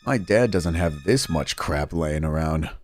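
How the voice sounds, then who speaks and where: A man's voice: extremely low pitch, expressive, unhurried, a little mellow, full character voice. A man voicing a cocky, laid-back rich teenage boy who talks in breezy slang. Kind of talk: fan dub voice acting.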